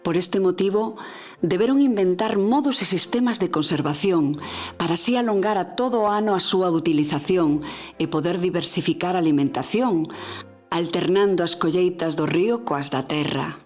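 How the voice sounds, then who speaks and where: A woman with a Galician accent narrates in a measured, formal documentary voice. Her pitch sits lower than most women's. Faint music plays beneath her. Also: a little mellow. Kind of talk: scripted narration.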